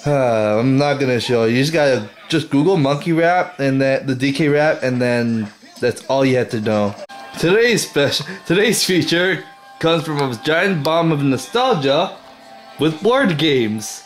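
A man's voice: medium pitch, lively intonation, a little slow, echoey room, soft background music. A young man vlogging casually from his bedroom, gushing and rambling about games, filmed on a camera held at arm's length. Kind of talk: casual vlog chat. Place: bedroom at home.

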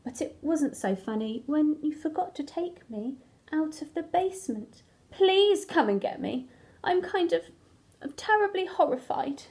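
Woman playing a scared glowing crayon, high-pitched. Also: lively intonation, a little slow, darkish tone. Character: terrified glow-in-the-dark crayon stuck in a basement.

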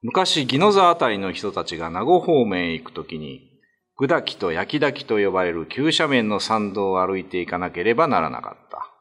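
A man's voice: lowish voice, big pitch swings, fairly quick, a bit bright. A man narrating a ghost story in a dramatic, scripted radio drama reading.

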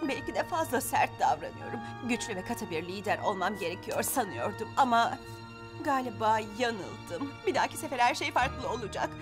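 A woman plays a bossy teenage cartoon princess, a perfectionist cheer captain. Her voice is fairly high and dramatically varied, with an imperious air. Loud music runs underneath. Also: expressive, normal speed, natural voice. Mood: regretful. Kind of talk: animated cartoon dubbing.

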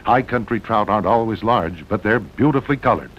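A man's voice: lively intonation, fairly quick. An American man narrating a 1960s promotional documentary film in a dramatic way, with punchy short phrases. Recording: muffled old film soundtrack with rumbling noise.

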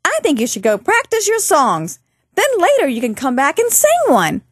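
A woman's voice: sits high, wildly swooping pitch, moderate pace, no echo, clean studio sound. A woman voicing a perky, bossy little girl puppet, speaking singsong to children in a puppet show. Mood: concerned, scolding. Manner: singsong, addressing children directly.

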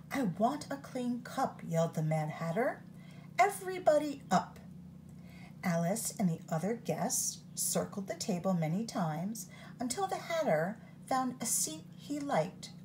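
A woman reading a picture book aloud for storytime, her voice rising and falling expressively. She takes it slowly and deliberately, recorded close on a phone above the page. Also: lowish voice, lively intonation, darkish tone, slight background noise, low-quality recording.

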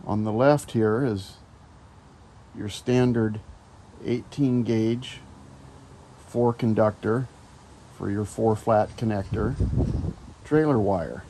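A man's voice: on the low side, slow pace, pauses often, dark tone, a little hiss or hum. A middle-aged man casually reviewing trailer wiring outdoors in a driveway, rambling with many fillers and restarts, filmed on a handheld phone. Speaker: DIY video maker.

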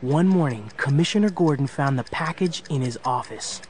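An actor playing an eager young sidekick, narrating a children's audio drama in a dramatic scripted style. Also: male voice, medium pitch, animated, moderate pace, a little mellow, natural voice. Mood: earnest storytelling. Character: eager young male sidekick telling case history.

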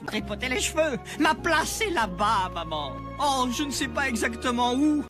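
A cheeky little cartoon meerkat, excitable and theatrical. The high voice leaps wildly up and down as it wisecracks, with loud film music underneath. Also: male voice. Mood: wistful, dry. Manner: theatrical, wisecracking, fast-switching delivery. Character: small, cheeky, excitable male meerkat dreamer. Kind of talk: animated film dub acting.

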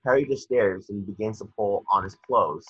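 Low, husky young man narrating. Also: moderate pace, fairly bright tone, no echo. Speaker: narrator reading stage directions. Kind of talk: scripted screenplay narration. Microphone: computer mic on video call. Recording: dull, dry video-call audio.

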